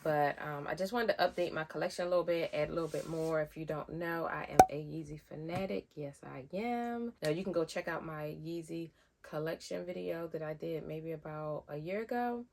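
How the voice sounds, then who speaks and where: Woman, deep gravelly voice, nasal with a cold, chatty unboxing vlogger, slight hiss behind. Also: brisk. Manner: chatty, rambling with fillers. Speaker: sneaker vlogger. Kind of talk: casual unboxing chat.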